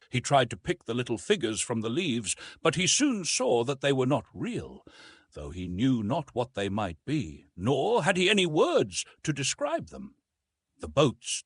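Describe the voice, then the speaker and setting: A man narrating a classic adventure novel as an audiobook, with expressive, dramatic storytelling delivery. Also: mid-range voice, husky, dry recording.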